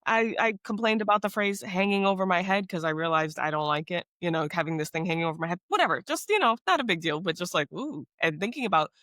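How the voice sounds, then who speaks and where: A woman's voice: on the low side, quick pace, hardly any pauses, fairly bright tone, dry recording, clean studio sound. A woman co-hosting a podcast, talking casually and rambling, often trailing off and restarting her thoughts. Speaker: podcast co-host.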